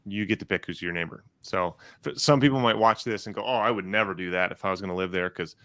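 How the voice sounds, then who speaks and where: A man co-hosting a podcast, chiming in with quick practical tips in a chatty way. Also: low-pitched, bright, forceful tone, dry recording. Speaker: podcast co-host. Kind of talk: casual podcast interview.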